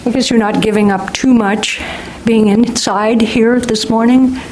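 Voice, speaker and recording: A woman chairs a public hearing in a friendly, orderly way, with the odd filler. Noise can be heard clearly behind her voice, along with some clicks. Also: on the high side, steady tone, a little slow, darkish tone, poor audio. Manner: friendly, procedural, a few fillers. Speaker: commission chair. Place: public meeting room.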